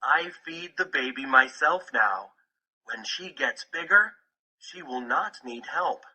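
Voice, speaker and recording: A man's voice: medium pitch, lively intonation, slow, sharp tone, breathy edge, poor audio. A man reading a children's picture book aloud as scripted narration.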